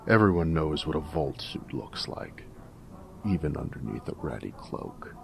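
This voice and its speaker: Weathered, friendly male ghoul, audio roleplay: wry and joking, heavy gravel and fry, unhurried drawl. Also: extremely low pitch, sing-song, a little hiss or hum, full character voice. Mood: wry, knowing. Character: snarky, friendly male ghoul wasteland companion, weathered and irradiated. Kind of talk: character roleplay audio drama.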